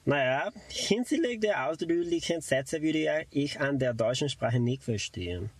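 Young guy w talking about learning german, slow careful wording, lots of fillers, dry close sound. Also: on the high side, expressive, breathy edge, no room sound. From Colombia, raised in Mexico. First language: Spanish. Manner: careful, searching for words with frequent "naja" fillers. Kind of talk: self-introduction monologue.

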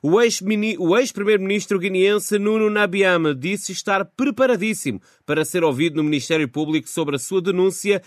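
Higher-pitched man presenting radio news. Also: brisk, few pauses, dry recording, clean studio sound. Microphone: studio microphone.

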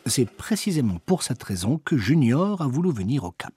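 A man's voice: medium pitch, sing-song, moderate pace, dark tone, a little hiss or hum. A man narrating a reportage from a prepared script. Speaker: documentary narrator.

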